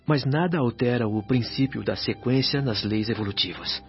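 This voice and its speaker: An actor playing a patient, grave spirit nurse who explains things in a measured, serene, didactic way in a radio drama. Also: male voice, medium pitch, close to an everyday voice. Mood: patient explaining. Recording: slightly dull band-limited sound with music. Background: music.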